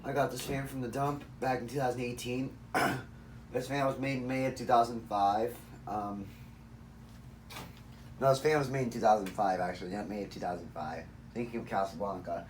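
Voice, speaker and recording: A man with a raspy voice rambling through a casual, off-the-cuff demonstration, correcting himself and losing his train of thought. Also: medium pitch, fairly even intonation, moderate pace, distant mic, a little hiss or hum, rough recording. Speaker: ceiling fan collector demonstrating a fan. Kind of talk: casual off-the-cuff video narration.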